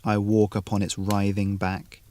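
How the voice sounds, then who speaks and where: A man reading an atmospheric short story in a deliberate, measured, literary manner. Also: fairly low pitch, completely flat delivery, mellow, slight background noise. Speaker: narrator.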